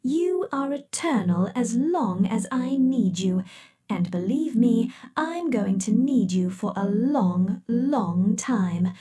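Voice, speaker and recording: A woman speaks slowly and softly in an ASMR roleplay, playing a possessive, controlling scientist who talks straight to the listener. Her voice is mellow and fairly high, and its pitch moves a lot. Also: lively intonation. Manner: soft, slow, menacing and possessive.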